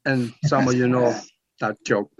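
A man's voice: mid-range voice, fairly even intonation, a little slow, dry recording. Dull-sounding older Norwegian man on radio. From Norway. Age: 70s. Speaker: talk radio guest. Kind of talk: explanatory radio interview talk. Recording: dull lo-fi talk radio audio.

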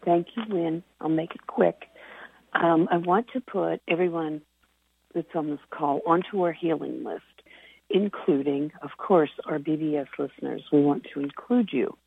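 Gravelly low-voiced woman on phone. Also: normal speed, dry recording. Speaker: call co-host reading reports and healing list. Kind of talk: informal spoken updates to call listeners. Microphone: telephone. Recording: muffled, narrow phone conference line.

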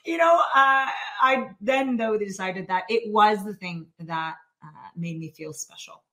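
A woman's voice: mid-range voice, unhurried. A woman from Canada, a financial planner and author, telling personal stories as a guest on a remote interview, with frequent laughter and many fillers. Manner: warm storyteller, laughs often, many fillers. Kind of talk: podcast interview.

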